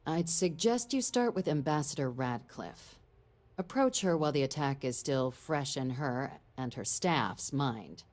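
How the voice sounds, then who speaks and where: Very low-voiced female government official. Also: animated, a little mellow. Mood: businesslike, firm. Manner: measured, formal, wry. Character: composed female government official briefing an operative. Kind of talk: scripted video game dialogue.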